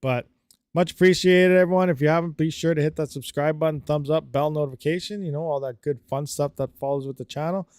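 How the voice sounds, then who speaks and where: A man hosts a live stream, his voice sitting a little higher than most men's and the sound dry, with no room around it. He rambles conversationally through viewer comments, leaning on fillers and easygoing asides. His throat sounds a bit dry. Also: fairly even intonation, quick pace, darkish tone, clear, resonant tone, no echo. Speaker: livestream host.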